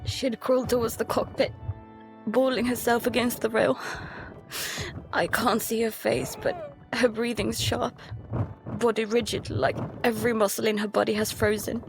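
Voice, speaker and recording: A woman playing a terrified child who narrates a disaster at sea in halting, urgent first-person drama. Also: a little high, little pitch movement. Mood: shaken, intense. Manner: halting, repeating words, breathy urgency. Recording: loud dramatic music behind. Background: dramatic music.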